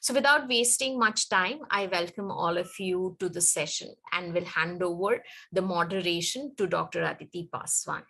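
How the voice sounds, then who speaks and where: A woman delivers a formal, scripted introduction. Her voice sits low and gravelly, with a sharp, bright edge to it. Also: normal speed, few pauses, low-quality recording. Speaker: event presenter.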